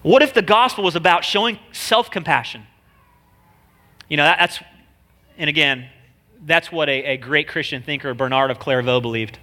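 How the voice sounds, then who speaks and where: A man's voice: a little high, normal speed, long pauses, sharp tone. A man with a nasal voice, a guest preacher giving a casual, joking sermon full of slang. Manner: chatty, joking, slangy delivery.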